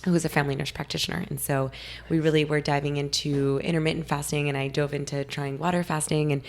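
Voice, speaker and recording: A young woman from California, slightly hoarse, talking eagerly as a podcast guest. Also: sits low, flat delivery, fast talker. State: a little hoarse. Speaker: guest nutritionist. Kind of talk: casual interview talk.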